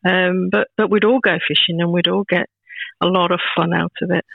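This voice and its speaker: A woman in her fifties, a proud grandmother, chatting as a podcast guest in a warm, rambling way with many restarts. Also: fairly low pitch, fairly even intonation, brisk, creaky, no room sound. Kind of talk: casual chat. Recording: tinny phone-like line, dry.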